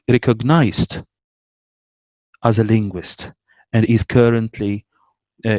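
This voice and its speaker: A man hosting an online talk over a video call, reading a formal guest introduction in a measured, careful way. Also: medium pitch, dry recording, rough recording. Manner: measured, careful with names, small self-corrections. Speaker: host introducing a guest speaker.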